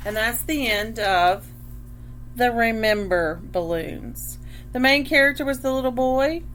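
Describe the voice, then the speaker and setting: A woman reading a picture book aloud like a teacher, pausing to explain and check understanding, with a noisy hum. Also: a little high, fairly bright tone. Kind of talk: picture book read-aloud with questions to children.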